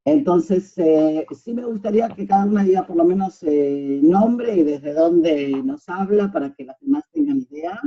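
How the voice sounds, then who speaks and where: A woman's voice: medium pitch, animated, normal speed, creaky, hardly any pauses, husky. A woman leading an informal online group class, often checking with her listeners that they follow. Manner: frequent checking questions. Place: online video call. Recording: dull lo-fi video-call audio.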